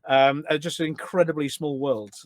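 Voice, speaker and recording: A middle-aged man chatting casually as a podcast guest, telling stories in an animated way. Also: on the high side, moderate pace, no room sound. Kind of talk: casual anecdotal chat.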